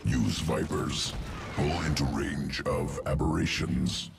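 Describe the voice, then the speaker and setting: A man voicing a cold, clinical alien creature in a video game, gravelly, speaking in clipped, detached, analytical fragments. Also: extremely low pitch, takes it slowly, music in the background, heavily acted. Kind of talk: video game character dialogue.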